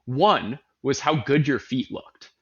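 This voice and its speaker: A man hosting an interview, asking relaxed questions with plenty of fillers. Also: mid-range voice, takes it slowly, slightly rough, dull audio. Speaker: host. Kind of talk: casual interview.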